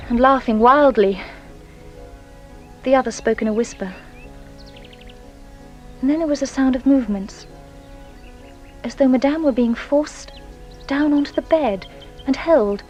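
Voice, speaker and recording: A genteel young woman , played by an actress confessing as a witness in a radio drama. Her voice is on the high side, anxious and hesitant, with a dramatic edge. Light music runs underneath. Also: darkish tone, lightly acted. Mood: mounting dread. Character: anxious, guilt-ridden genteel young Englishwoman giving testimony.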